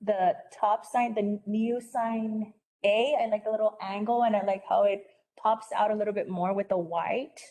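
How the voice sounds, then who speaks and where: A woman's voice: medium pitch, steady tone, brisk, warm tone. A woman giving her views in an informal meeting discussion. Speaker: meeting participant. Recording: faint background noise.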